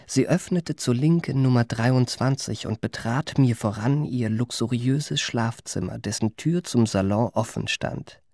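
A man's voice: medium pitch, unhurried, few pauses, a little mellow, no room sound, clean studio sound. An actor playing a suave, self-regarding confidence man, narrating his memoirs in a radio play with ornate, drily precise phrasing. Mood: composed, wry. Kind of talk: literary first-person radio play narration.

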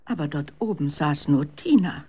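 Woman storyteller, children's radio drama. Dramatic, swooping intonation, slightly raspy voice. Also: low-pitched, animated, normal speed, dark tone. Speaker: narrator. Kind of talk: expressive scripted children's story narration.

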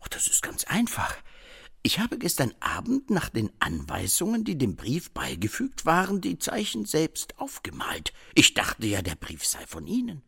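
An anxious, well-meaning detective's assistant in a radio crime comedy. The voice is breathy and extremely animated, leaping up and down with fretful, naive earnestness. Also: male voice, a little high, moderate pace, exaggerated voice. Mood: eager, proud. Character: naive, anxious, eager male detective's sidekick.

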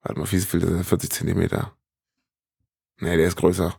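Dry-sounding, lower-voiced man, playful podcast co-host. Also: completely flat delivery, fairly quick, vocal fry, a little mellow, breathy edge, no room sound. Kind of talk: casual podcast chat.

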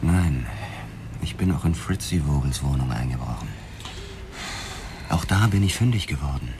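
An actor playing a driven, curt police detective in a German radio drama, speaking in a clipped, insistent way. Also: male voice, very deep voice, expressive, normal speed. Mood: matter-of-fact. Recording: clear background noise.

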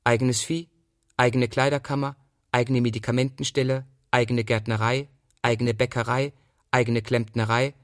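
A man with a medium-pitched voice reads literary prose. He phrases it in a measured, precise way. The recording is very dry and free of room sound. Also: level tone, dry recording. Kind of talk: literary reading, scripted narration.

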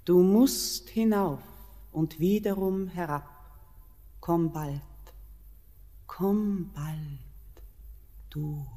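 A woman's voice: fairly low pitch, extremely slow, long pauses. An actress playing a mysterious mountain queen in a classic German radio play, solemn and incantatory, tender yet commanding. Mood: tender urging. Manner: solemn, incantatory verse delivery, hushed at times. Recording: radio play with long hall reverb.

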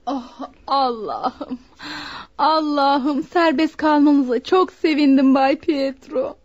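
An actress playing a devoted, emotional household servant in a radio play. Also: female voice, high-pitched, a little mellow. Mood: overjoyed. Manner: emotional, drawn-out. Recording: dull, muffled old radio recording.

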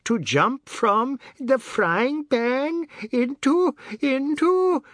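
Audiobook role: old matriarch, high-pitched, dragging her words plaintively, meek yet pleased with herself. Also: dry recording, clean studio sound. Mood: spluttering amusement. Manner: drawn-out, plaintive delivery. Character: slow, groaning, sighing old matriarch, meek and self-satisfied.

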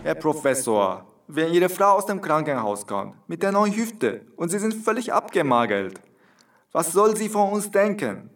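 Slightly high voice, lively intonation, polite coaxing friend, radio play, pleading, loyal. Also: male voice, unhurried, distant mic. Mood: pleading, worried. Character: gentle, pleading, loyal male colleague. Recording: off-mic, as if calling from outside.

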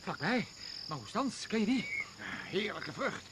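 An actor playing a brisk, shifty man feigning reassurance in a radio drama, on a noisy old recording. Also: high-pitched, very animated. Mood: brisk, evasive.

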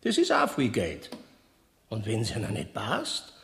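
A man's voice: lowish voice, huge pitch swings, unhurried, creaky, a bit bright, roomy sound, a little hiss or hum, full character voice. An actor playing a shrewd, stubborn male moneylender in a radio play, wheedling in Bavarian dialect.

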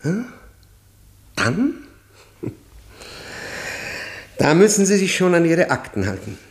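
An actor playing a tormented, bitter man of forty-five, haunted by guilt, halting and brooding in a German radio play. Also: on the high side, big pitch swings.

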